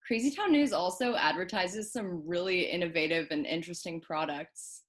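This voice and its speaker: A woman's voice: lowish voice, moderate pace, lightly acted. A woman playing an earnest, easily impressed news viewer in scripted radio play dialogue.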